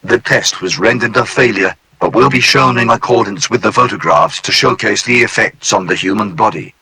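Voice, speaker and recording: A man voicing a polite, formal male AI supercomputer that guides trainees in a calm, courteous, clipped manner. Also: fairly low pitch, normal speed, low-quality recording. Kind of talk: scripted narration for an analog horror tape.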